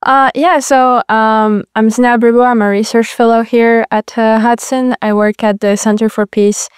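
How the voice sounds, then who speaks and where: Smooth-voiced woman, flat delivery. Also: fairly high pitch, normal speed, dry recording, clean studio sound. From Morocco. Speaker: guest, research fellow. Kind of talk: interview answers.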